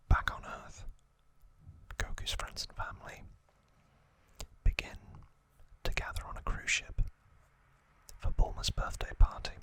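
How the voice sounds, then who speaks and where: A man hosting an ASMR video, narrating a recap in a soft, breathy, unvoiced whisper, in short phrases with pauses. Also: low-quality recording.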